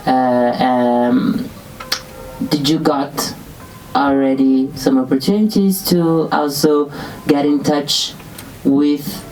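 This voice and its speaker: Very low-voiced, slow woman interviewer. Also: steady tone, a little mellow, far from the mic, soft background music, low-quality recording. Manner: hesitant, many fillers and restarts. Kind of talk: live interview questions.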